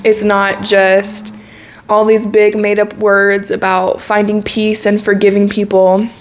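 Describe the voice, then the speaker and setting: A woman's voice: mid-range voice, completely flat delivery, slow. A woman in her twenties talking to camera in a casual, reflective monologue, candid and earnest, with slang and frequent laughs. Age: 20s. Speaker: vlogger.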